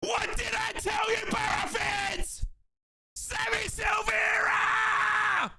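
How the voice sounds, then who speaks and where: A young Australian man giving excited live football commentary on a watchalong stream, shouting and repeating himself, his voice going hoarse. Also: very high-pitched, very slow, faint music behind, some chatter behind.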